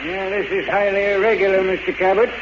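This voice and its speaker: Actor as stern high-voiced judge, old radio. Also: male voice, level tone, fast talker, close to an everyday voice. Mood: official, disapproving. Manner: formal, declamatory, authoritative. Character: stern, pompous courtroom judge. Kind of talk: 1940s radio drama acting. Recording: noisy, dull 1940s radio recording.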